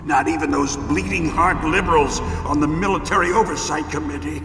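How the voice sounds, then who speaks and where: An actor playing a wounded, cynical older arms company president in a video game cutscene, haughty and defensive. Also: male voice, on the high side, a little fast, creaky. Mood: smug, explanatory. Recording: echoey reverb under loud game music.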